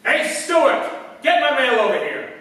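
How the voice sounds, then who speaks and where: A man's voice: very high-pitched, animated, unhurried, bright, forceful tone, distant mic, full character voice. A man playing an ornery, brusque neighbor in a 1940s style radio drama. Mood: impatient, barking. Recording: echoey stage from afar, faint noise.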